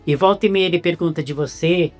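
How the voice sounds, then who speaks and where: This is a man with a Brazilian Portuguese accent who hosts a relaxed interview. He jokes easily and often stumbles and restarts his words. Quiet music runs faintly in the background. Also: on the high side, fast talker. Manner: playful, joking, stumbles over words. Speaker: interviewer host.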